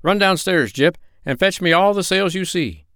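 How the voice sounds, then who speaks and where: A man voicing an excitable, kindly gentleman doctor in a children's storybook. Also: high-pitched, normal speed, no room sound, studio quality, voice pushed up. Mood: urgent.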